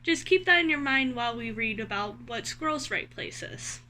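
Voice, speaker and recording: A woman with a high, bright voice reads a children's story aloud. She is expressive and speaks directly to her young listeners, guiding them through the tale. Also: normal speed. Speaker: read-aloud host.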